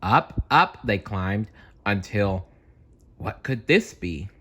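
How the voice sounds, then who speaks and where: A man reading a children's storybook aloud as an expressive storyteller, theatrical and deliberate in his delivery. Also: on the low side, slightly rough.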